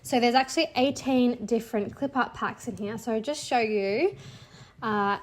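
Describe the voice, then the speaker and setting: A woman in her thirties talks through her sales figures in a relaxed, chatty way, sprinkling in "like" and "so". Her voice sits a little high and sounds a bit nasal from a cold. She keeps an upbeat tone and laughs lightly now and then. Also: moderate pace. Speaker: clipart seller sharing a monthly income report. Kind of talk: casual screen-share walkthrough.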